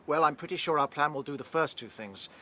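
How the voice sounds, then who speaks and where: A man's voice: fairly high pitch, expressive, fast, sharp tone. A man playing a cautious, responsible rabbit leader in a radio drama, well-spoken and deliberating, with a British accent. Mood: worried.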